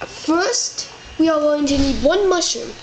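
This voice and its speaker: A woman's voice: sits high, lively intonation, a little slow, a little mellow. A female voicing a bossy, scatterbrained young male wizard hosting a cooking show in a homemade toy play. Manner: bossy, scatterbrained, giving orders. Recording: noisy home recording.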